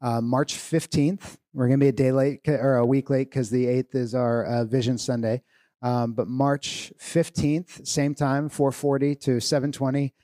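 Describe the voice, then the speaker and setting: A man leading a church family meeting, giving relaxed, joking instructions to tables of people. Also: medium pitch. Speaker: church leader.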